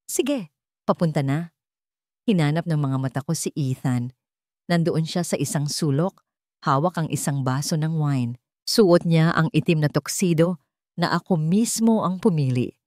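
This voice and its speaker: A woman with a Filipino accent narrates a dramatic audiobook. Her voice sits on the low side for a woman, and the sound is dry and close. She reads in the first person as a scripted story. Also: moderate pace, darkish tone, dry recording.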